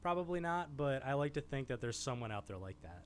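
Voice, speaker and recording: A man's voice: mid-range voice, expressive, a little fast, a little hiss or hum. A man hosting a video essay, giving informal, enthusiastic film commentary in a conversational style. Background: background music.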